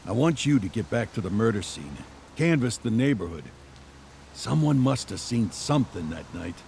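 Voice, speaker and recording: An actor playing a cynical, world-weary senior male cop with a gravelly voice and dry, jaded delivery in a video game. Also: on the low side, sing-song, normal speed, noisy background. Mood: firm orders. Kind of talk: video game cutscene dialogue.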